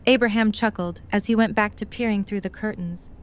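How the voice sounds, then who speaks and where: A woman's voice: medium pitch, steady tone, fairly quick. A woman reading scripted third-person narration for an audio drama. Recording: faint background ambient noise.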